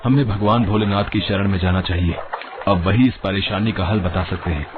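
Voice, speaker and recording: A man's voice: low-pitched, fairly even intonation, fairly quick, lightly acted. Man as a composed god, tinny phone-like sound. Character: composed, diplomatic male god. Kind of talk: animated mythological story dialogue.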